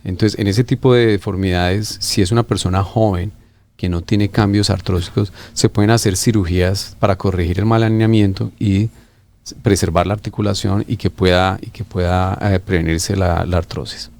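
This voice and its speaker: A middle-aged man from Colombia, an orthopedic surgeon explaining treatments in an interview, pausing often with fillers and restarts. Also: sits low, steady tone, a little mellow. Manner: hesitant, many fillers and restarts.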